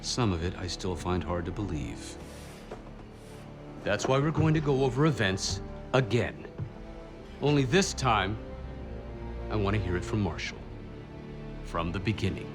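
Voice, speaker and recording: A man's voice: lowish voice, animated, a little slow, pauses often, over music. A man playing a stern, angry superior who interrogates subordinates with accusing sarcasm in a game cutscene. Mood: stern, interrogating. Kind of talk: video game cutscene acting.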